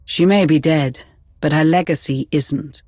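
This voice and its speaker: An earnest military adviser, with a fairly high, slightly husky voice. He talks formally and with concern, as a dutiful, uneasy aide in a dramatic game cutscene. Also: unhurried, a little mellow, no echo, studio quality.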